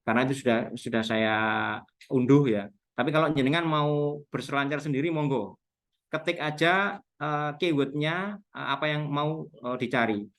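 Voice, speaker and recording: A man's voice: a little high, moderate pace, fairly bright tone. A man with a Javanese Indonesian accent giving an informal explanatory webinar talk, going step by step and spelling out terms as a speaker on local history.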